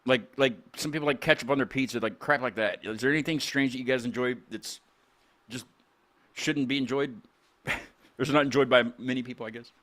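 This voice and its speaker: A man's voice: medium pitch, a little fast, fairly bright tone. A man hosting a casual group chat, rambling with stammered restarts and frequent laughs. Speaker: podcast host.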